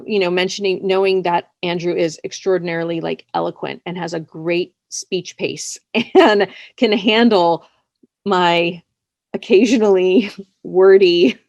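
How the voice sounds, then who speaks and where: A woman chatting casually as an interviewed writer, with an animated, easygoing conversational way of talking. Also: on the low side, a little slow, gravelly, no room sound, highs cut off.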